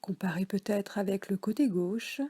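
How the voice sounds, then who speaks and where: A French woman guiding a yoga nidra relaxation session, speaking softly with some words whispered. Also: mid-range voice, fairly even intonation, breathy edge. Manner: slow, soft, partly whispered guidance. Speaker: relaxation guide.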